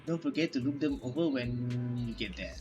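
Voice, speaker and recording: A man's voice: mid-range voice, normal speed, a little mellow, highs cut off. A man doing playthrough commentary as a gaming host, reacting and reading game text aloud. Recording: game music behind the voice.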